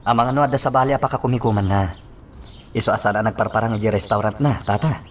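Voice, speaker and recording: A man playing a caring younger man, gentle and respectful toward an elder, in a radio drama. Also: lowish voice, fast talker, very noisy, natural voice. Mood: concerned. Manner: gentle, concerned.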